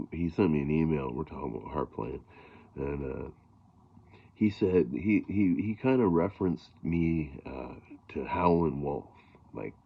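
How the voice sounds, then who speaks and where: A middle-aged man with a gravelly voice, talking casually about harmonicas in a rambling way, with lots of fillers and throat clearing. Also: very deep voice, narrow, tinny audio. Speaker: blues harmonica player. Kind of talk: casual talk to camera.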